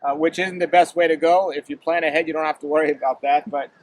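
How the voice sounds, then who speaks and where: A man's voice: on the high side, steady tone, poor audio. Chatty male interviewer in a garden. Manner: chatty, asks quick follow-up questions with ums. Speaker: interviewer, channel host. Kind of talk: casual interview. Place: outdoors in a yard.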